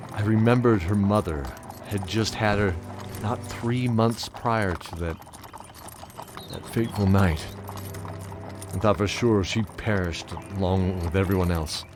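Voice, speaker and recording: A low-voiced old knight acted by a man recounts his past in an audio drama. He is fatherly and tender, reflective and a little halting, as if weighing each memory. Faint ambience sits behind him. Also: noisy background. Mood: wistful, sombre. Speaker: narrator and knight character.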